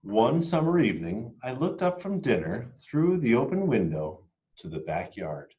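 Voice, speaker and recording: A man reading a children's picture book aloud expressively, moving between hushed and emphatic delivery. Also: medium pitch, very animated, normal speed, highs cut off. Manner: dramatic, hushed and emphatic by turns. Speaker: read-aloud storyteller.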